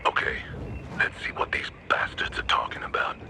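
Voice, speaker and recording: An actor playing a wary, fatherly older lookout in a video game, talking in a measured, gruff voice. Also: whispered, natural voice. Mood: watchful. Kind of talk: video game character dialogue. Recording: noisy, with engine-like ambience behind.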